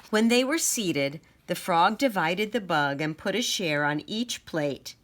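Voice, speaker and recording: Slow, low-pitched woman teaching young children. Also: expressive, fairly bright tone. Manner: sounds out letters and words deliberately. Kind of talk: storybook read-aloud and phonics lesson.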